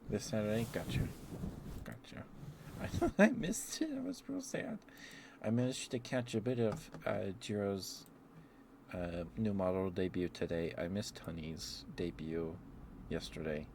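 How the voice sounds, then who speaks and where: A man's voice: on the low side, very animated, measured pace, gravelly, a little mellow, a little hiss or hum. A man hosting a casual ASMR livestream, rambling and talking affectionately to viewers close to the microphone. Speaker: livestream host.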